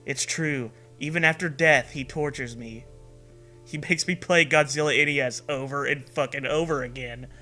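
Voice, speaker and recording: A man's voice: a little high, fairly even intonation, moderate pace, sharp tone, soft background music. A man voicing an earnest, melodramatic young woman who speaks from beyond death. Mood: grave, tender.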